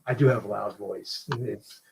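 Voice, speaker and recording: A man joining a livestream call, chatting casually in quick bursts. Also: fairly low pitch, steady tone, vocal fry, a little mellow, slight background noise. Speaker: guest on a call. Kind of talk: casual chat.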